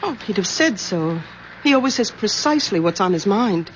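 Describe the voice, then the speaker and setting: An actress playing an anxious, protective middle-aged daughter in a 1970s radio drama. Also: female voice, mid-range voice, lively intonation, moderate pace, close to an everyday voice. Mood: troubled. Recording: very noisy, dull old radio recording.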